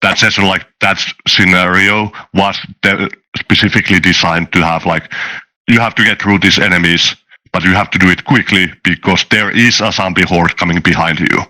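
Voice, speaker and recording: Very bright-voiced deep man. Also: fairly even intonation, moderate pace, low-quality recording. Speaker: tabletop game designer. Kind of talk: casual podcast discussion.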